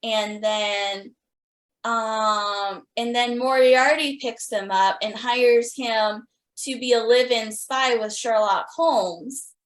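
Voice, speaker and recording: Slow-paced high-voiced woman podcast host. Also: steady tone. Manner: enthusiastic. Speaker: podcast host interviewing guests. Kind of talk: casual fan interview chat. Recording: roomy, echoing sound.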